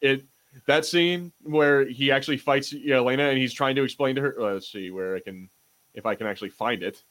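A man's voice: medium pitch, brisk, fairly bright tone. A man co-hosting a podcast in casual pop-culture banter, speaking informally and swearing freely. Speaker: podcast host.

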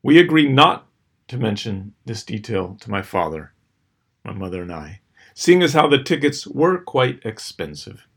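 A middle-aged man reading scripted personal stories as host, with a dry, wry, measured delivery and deliberate pauses. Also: on the low side, big pitch swings, breathy edge. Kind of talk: scripted first-person storytelling.

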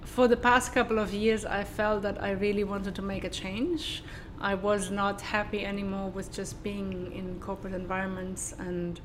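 A woman with a German accent speaks as an interview guest in a flat, even tone with a little background noise. She talks hesitantly, filling pauses and restarting her sentences. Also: medium pitch. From southern Germany. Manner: hesitant, many fillers and restarts. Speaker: guest, sustainability consultant. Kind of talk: casual interview.

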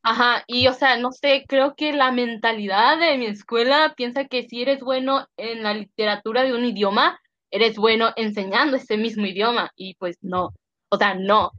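A woman's voice: on the high side, normal speed, a bit bright, no echo, lo-fi sound. A young Mexican woman co-hosting a casual chat podcast, rambling, slangy, restarting and trailing off mid-thought. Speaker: podcast co-host.

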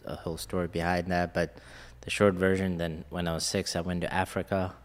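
A man's voice: sits low, level tone, fairly quick, big-room sound. A man in his late thirties, born in India and raised in Ghana, earnestly telling his life story as a podcast guest. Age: late 30s. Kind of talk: casual interview storytelling.

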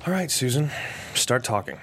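An irritable businessman in his thirties, acted by a man, speaks at an ordinary male pitch. He is skeptical and short with people, in the style of a thriller script read aloud. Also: big pitch swings, moderate pace, fairly bright tone, slightly rough, exaggerated voice. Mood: guarded, questioning.

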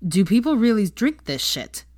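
A woman's voice: mid-range voice, big pitch swings, normal speed, darkish tone, no echo, clean studio sound, voice pushed up, lightly acted. A woman voicing an exuberant, cheeky young male wizard out partying in a story reading, playful and brash.

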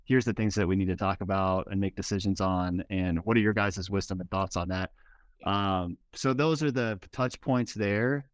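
A man's voice: sits low, moderate pace, no echo. A male guest pastor explaining plainly and practically in a casual podcast conversation.

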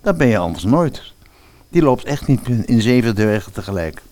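A man's voice: lowish voice, big pitch swings, normal speed, a little mellow, slight background noise. An actor playing an unconcerned, mildly sceptical father in a comic fairy-tale audio drama. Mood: unbothered.

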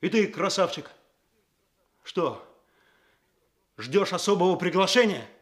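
An actor playing an earnest, stern young police officer in a radio play, speaking in an official, clipped manner. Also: male voice, high voice, animated, slow pace. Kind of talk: Soviet radio play acting.

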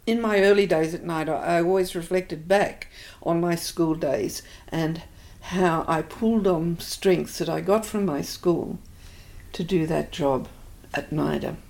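An older woman with an Australian accent, thoughtfully recalling her life in an interview, pausing often. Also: on the low side, creaky, darkish tone. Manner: reflective, hesitant with many fillers. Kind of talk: oral history interview.

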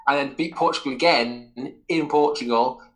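A man's voice: mid-range voice, moderate pace, low-quality recording. A man hosting a football podcast, talking casually and enthusiastically through detailed football memories in a rambling way. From Wales. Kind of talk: casual sports chat.

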